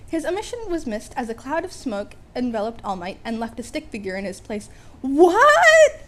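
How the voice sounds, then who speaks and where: A woman's voice: on the high side, animated, brisk, darkish tone. A woman reading a scripted comic story as an amateur narrator, wry in manner, sometimes stumbling and rereading phrases. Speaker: amateur audiobook reader.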